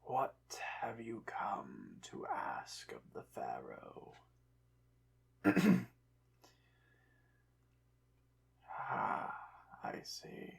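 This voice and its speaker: A performer in an ASMR roleplay voicing a haughty, prideful undead Egyptian pharaoh, slow and softly acted, with pompous, formal phrasing. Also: medium pitch, vocal fry, husky, full character voice. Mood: groggy, regal.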